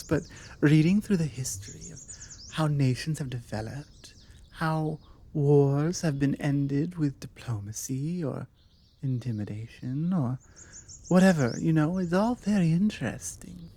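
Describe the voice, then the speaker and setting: A woman acting as a self-assured British vampire lady in an ASMR roleplay. She speaks slowly in a soft-edged, mellow tone, conversational and forward, leaving deliberate pauses between her phrases. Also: low voice, exaggerated voice. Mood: musing, assured.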